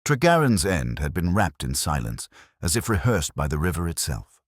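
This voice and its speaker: A man playing a melancholy police inspector, narrating his case in measured, literary, introspective prose for an audio drama. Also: low-pitched, big pitch swings, breathy edge, no echo, studio quality, exaggerated voice. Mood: brooding, reflective. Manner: measured, literary, brooding delivery. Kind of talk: scripted first-person detective audio drama narration.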